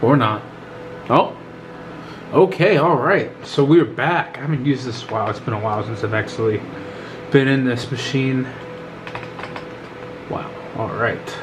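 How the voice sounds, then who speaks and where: A man's voice: medium pitch, a little slow, long pauses, slight background noise, rough recording. Stuffy-nosed man chatting through a tech build. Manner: relaxed and chatty, with frequent ums and self-corrections. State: stuffy and congested from a cold. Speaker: YouTube tech vlogger. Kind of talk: casual hands-on tech vlog narration.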